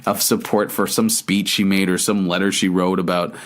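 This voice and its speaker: Low-voiced man, rambling podcast host. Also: flat delivery, moderate pace. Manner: rambling, reads news aloud, profane and mocking. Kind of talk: casual podcast chat.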